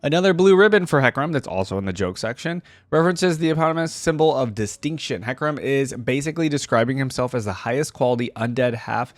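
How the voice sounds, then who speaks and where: A man's voice: medium pitch, expressive, fast talker, few pauses, dry recording. Casual man rambling through fan commentary, dry recording. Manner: informal, rambling, thinking aloud, peppered with "like". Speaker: YouTube reaction host.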